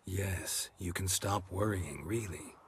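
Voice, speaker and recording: An actor playing a gruff, laconic monster hunter in a video game, with terse and understated delivery. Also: male voice, deep voice, slow pace, heavily acted. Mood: tender, restrained. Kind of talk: video game cutscene dialogue.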